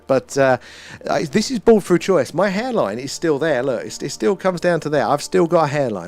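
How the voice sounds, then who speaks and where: A man's voice: mid-range voice, darkish tone. British man chatting over background music. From United Kingdom. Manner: musing, loosely philosophical, mildly sweary. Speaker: live stream host. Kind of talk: casual rambling livestream chat.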